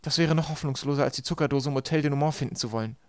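A man acts a practical teenage girl in a storybook, worried and desperate. The voice is lifted and tight in the throat, and it comes quickly. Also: fairly high pitch, no echo, voice pushed up, natural voice. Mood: worried, insistent. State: tight-throated, scratchy from poison.

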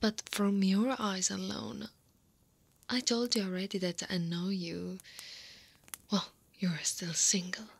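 Woman voicing a slow, breathy, flirty slime monster woman. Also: medium pitch, steady tone, no room sound, exaggerated voice. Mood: seductive, possessive. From Italy. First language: Italian. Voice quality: breathy, often half-whispered. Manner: slow, playful, teasing. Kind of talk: intimate ASMR roleplay. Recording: clear background ambience noise.

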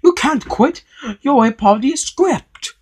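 A man's voice: extremely high pitch, expressive, unhurried, pitched above the performer's own voice. A man voicing a furious, bossy film manager who scolds and gets flustered in a children's story. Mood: flustered, protesting. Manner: scolding, exclamatory.

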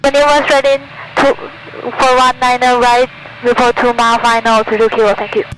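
A woman's voice: on the high side, little pitch movement, moderate pace. A young woman, a student pilot, making radio calls to air traffic control through a headset. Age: young adult. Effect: aviation radio. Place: small airplane cockpit. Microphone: aviation headset. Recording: noisy aircraft radio and engine hum.

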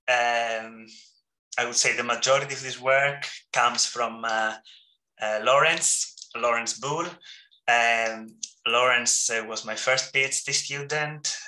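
A man's voice: medium pitch, bright, forceful tone, echoey room, low-quality recording. A man in his thirties with a Greek accent, giving a friendly, joking academic talk over a video call with many ums and uhs. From Greece. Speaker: seminar speaker. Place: home room, indoors.

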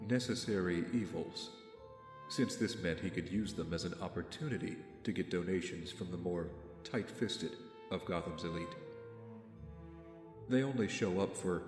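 A man playing a guarded young billionaire in an audio drama, speaking in a measured, formal way. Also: low-pitched, close to an everyday voice. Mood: brooding. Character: brooding, guarded young billionaire with a secret mission. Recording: roomy reverb, faint music behind.

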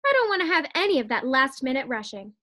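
Woman as very high-pitched, perky radio housewife. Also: sing-song, fast, sharp tone, heavily acted. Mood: exasperated. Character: perky, exasperated young housewife with sharp comebacks. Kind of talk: old-time radio sitcom acting.

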